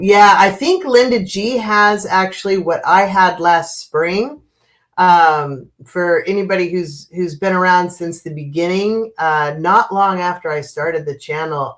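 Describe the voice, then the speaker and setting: A woman in her fifties hosting a casual livestream, chatty and greeting viewers by name, with a stuffy, congested nose from a cold. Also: on the low side. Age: 50s. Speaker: livestream host.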